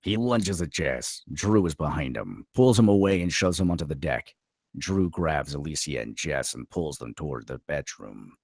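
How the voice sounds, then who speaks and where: A man's voice: a bass voice, animated, moderate pace, darkish tone, no echo, clean studio sound. A man reading stage directions as dramatic scripted narration at a screenplay table read.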